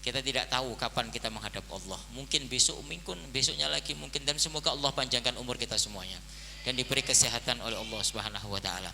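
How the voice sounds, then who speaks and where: A man in his forties gives a slow, measured sermon to his students. His voice is very bright and carries a long hall reverb. A faint hum sits behind him. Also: mid-range voice, vocal fry. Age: 40s. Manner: slow, measured preaching with the repeated filler "nah, ini". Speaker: head of an Islamic boarding school. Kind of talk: birthday speech and sermon to students.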